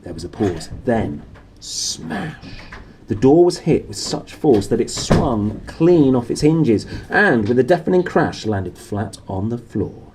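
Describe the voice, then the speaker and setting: A man's voice: mid-range voice, sing-song, slow pace, a little mellow, slight background noise. A man reading a story aloud in a theatrical, playful and dramatic way. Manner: theatrical, playful, self-correcting. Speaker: storybook reader. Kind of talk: dramatic, expressive storybook narration.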